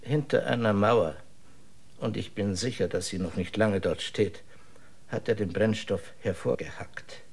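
A man playing a grave, cautious dissident scientist in a German radio drama, husky and measured. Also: lowish voice. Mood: earnest, cautioning. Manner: measured, deliberate, serious.

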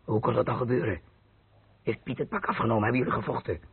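A man's voice: mid-range voice, fast, over a noisy crowd, lightly acted. A man playing a curt, sarcastic, menacing crook in a radio drama. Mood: impatient, menacing. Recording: tinny telephone-like old radio recording.

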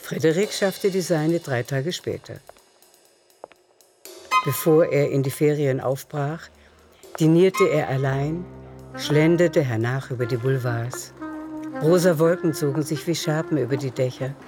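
Composed narrator reading literary prose for a radio play, mid-pitched voice, music behind. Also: a little slow.

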